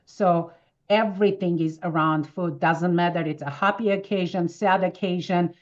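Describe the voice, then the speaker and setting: A woman in her fifties from Armenia, speaking English with an Armenian accent, telling lively stories as an interview guest. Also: on the low side, muffled recording. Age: 50s. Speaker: wellness expert guest. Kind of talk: casual interview answers.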